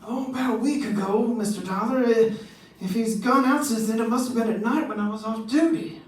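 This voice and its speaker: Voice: mid-range voice, brisk, lightly acted. An actor playing a hesitant, obliging hotel desk clerk in an old-time radio detective drama. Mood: hesitant, helpful. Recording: echoey room, distant mic.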